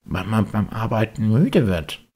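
A man's voice: lowish voice, big pitch swings, a little slow, darkish tone, voice pushed up, heavily acted. A man voicing an eager, naive little wooden puppet boy, exclaiming and pleading in an audiobook. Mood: reluctant. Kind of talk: audiobook character voice.

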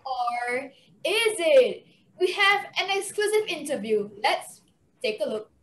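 A teenage girl playing a perky, dramatic fairy-tale show narrator with a drawn-out theatrical delivery. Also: sits high, very animated, reverberant, a little hiss or hum, heavily acted. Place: bedroom. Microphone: distant, on a video call.

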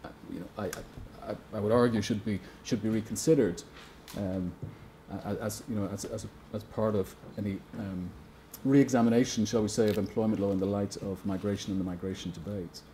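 A man speaks at a seminar panel table in a mellow, fairly low voice. His talk is careful and academic, halting often to restart a phrase. A faint room hum sits underneath. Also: steady tone. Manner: hesitant, many restarts, measured.

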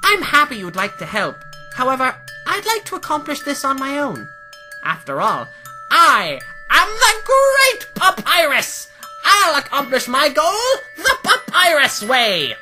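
A woman's voice: sits high, huge pitch swings, unhurried, bright, forceful tone, full character voice. A woman voicing a boastful, innocent, earnest male skeleton in a comic dub, with a theatrical delivery. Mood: earnest, confident. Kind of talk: comic dub character acting. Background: music.

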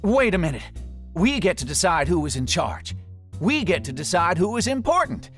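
A man's voice: sits high, big pitch swings, moderate pace, a bit bright, faint music behind. A man voicing a friendly, earnest dog in a storybook, speaking eagerly and with emphasis. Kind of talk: storybook character read-aloud.

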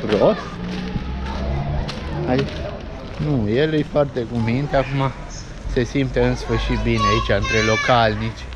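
A man in his thirties talks casually into a handheld camera while walking a market street, pointing things out as he goes. His voice is mid-pitched. Traffic and street noise run clearly underneath. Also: steady tone, pauses often. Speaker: travel vlogger host. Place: outdoor street market in a town. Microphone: handheld action camera at arm's length. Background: street traffic and town noise.

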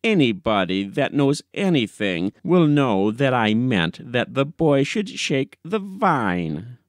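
A man's voice: medium pitch, sing-song, takes it slowly, no room sound, clean studio sound. A man voicing a smug, naive newspaper editor, self-assured and defensive, in a short story audiobook. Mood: affronted.

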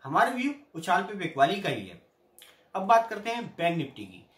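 A middle-aged Indian man hosting a daily stock market update, briskly reading out price levels and tips. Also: sits high. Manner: brisk, reads out price levels in a lively sing-song. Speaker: stock market analyst host. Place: small indoor room with patterned curtain. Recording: echoey room.

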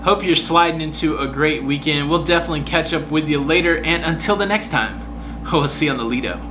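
A man's voice: fairly high pitch, fairly quick. An American man in his forties hosting a casual cruise news update to camera from a hotel room. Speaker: cruise news video host. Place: small furnished hotel room, indoors. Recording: clearly audible hum, room air-conditioner noise.

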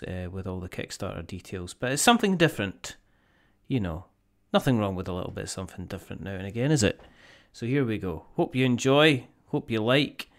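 A man's voice: lowish voice, gravelly. A man casually introducing a drama on his podcast. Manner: chatty, rambling. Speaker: podcast host.

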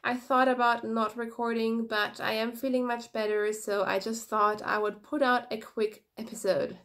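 A young woman with a fairly high voice talks quickly and eagerly to her viewers, a little sniffly from a head cold. She hosts a knitting podcast, and the sound comes from a phone at arm's length in a small room, with no proper microphone. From Germany. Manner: quick, energetic, chatty. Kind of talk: casual chat to camera. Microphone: phone camera at arm's length, no external mic.

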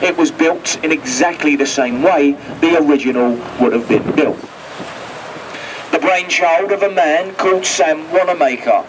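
A man's voice: on the high side, measured pace. A man on a river boat crew giving chatty, jokey tour commentary over a handheld microphone. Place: open deck of a river boat, outdoors. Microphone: handheld microphone over a boat speaker, filmed from a distance. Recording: distant PA voice with boat noise.

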